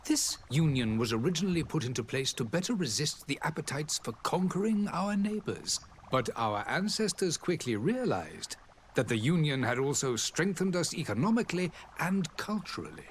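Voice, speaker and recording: An actor playing a dignified, courteous diplomat in a role-playing game, speaking formally and eloquently. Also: male voice, fairly high pitch, very animated, moderate pace, a little hiss or hum. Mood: proud, explanatory. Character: dignified male diplomat of a foreign alliance, proud and courteous.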